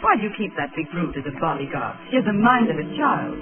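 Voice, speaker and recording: Tinny 1930s radio spy countess. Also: female voice, low-pitched, very animated, fast talker. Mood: cool, wary. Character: cool, shrewd foreign countess in espionage. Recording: tinny old 1930s radio recording, music behind.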